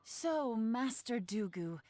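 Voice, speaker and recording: A woman's voice: fairly high pitch, expressive, slow pace, warm tone, no room sound, clean studio sound. Poised, shrewd undercover woman. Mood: courteous, playful. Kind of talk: video game voice acting. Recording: game music behind the voice.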